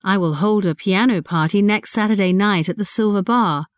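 A woman reading a scripted dialogue clearly and deliberately, as in a listening test. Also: medium pitch, dry recording, studio quality.

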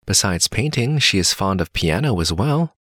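A man reading lines of a scripted dialogue slowly and carefully, as in a listening test. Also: on the low side, sing-song, fairly bright tone, no echo, studio quality.

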